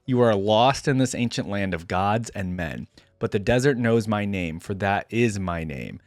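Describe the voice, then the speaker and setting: A man reading a fantasy story as a solemn, haunted, defiant desert wanderer, in a grave, formal, deliberate manner. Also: on the low side, lively intonation, no echo, clean studio sound, lightly acted.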